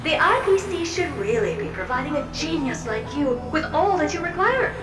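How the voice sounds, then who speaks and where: A high, theatrical floating puppet. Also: female voice. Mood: fawning. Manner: theatrical, flattering, playful storyteller. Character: fawning theatrical floating puppet helper, female. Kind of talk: video game voice acting. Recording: roomy and distant, with music. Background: game music.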